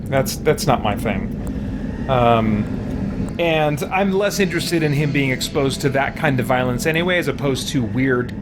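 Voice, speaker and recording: A man's voice: mid-range voice, animated, measured pace, noisy background. A middle-aged man livestreaming a game, chatting casually and rambling as he thinks aloud. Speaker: livestream host and gamer. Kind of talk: casual chat while playing a game.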